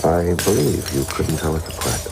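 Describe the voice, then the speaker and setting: Gravelly, wisecracking drifter. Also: male voice, extremely low pitch, very animated, moderate pace, noisy background, full character voice. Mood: easygoing. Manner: wisecracking, easygoing. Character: easygoing adult drifter, lookalike of the husband. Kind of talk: 1970s radio drama acting. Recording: muffled old radio broadcast with music.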